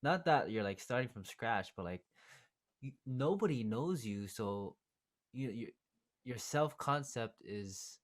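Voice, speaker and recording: A man's voice: mid-range voice, lively intonation, slow. A man hosting a podcast interview, easygoing and thinking aloud with plenty of fillers. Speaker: podcast host. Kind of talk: casual interview chat.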